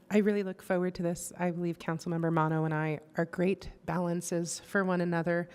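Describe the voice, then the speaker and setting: A lowish woman's voice gives earnest remarks in a council meeting. She pauses and restarts now and then, and the chamber adds a long reverb. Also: darkish tone, clear, resonant tone. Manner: earnest, hesitant, with fillers and restarts. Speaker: council member.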